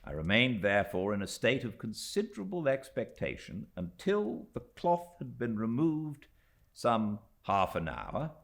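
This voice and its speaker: A British man narrating classic fiction in an expressive, deliberate way, with dramatic pauses. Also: medium pitch, creaky. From Britain. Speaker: audiobook narrator. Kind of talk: scripted literary narration.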